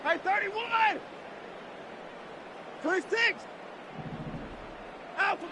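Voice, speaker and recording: A man's voice: very high voice, extremely slow, heavily acted. A man voicing a quarterback calling short, clipped snap counts at the line, heard from a distance over a stadium crowd. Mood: barking calls. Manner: short clipped shouted calls. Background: crowd and stadium noise.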